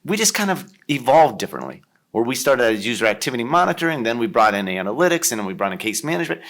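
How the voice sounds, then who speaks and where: A man's voice: medium pitch, big pitch swings, quick pace, fairly bright tone. A man in his fifties with an Ohio accent, chatting as a podcast guest in a jokey, rambling way. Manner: jokey, rambling, leans on "kind of". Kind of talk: casual interview chat.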